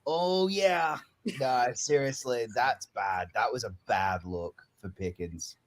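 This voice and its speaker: A man's voice: medium pitch, slow pace. A man in casual sports chat, teasing his co-host and stating blunt opinions with emphasis.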